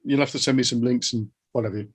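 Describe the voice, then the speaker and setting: A man's voice: medium pitch, steady tone, fast, breathy edge. A British man hosting a casual interview podcast in an encouraging, affirming way. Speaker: interview host.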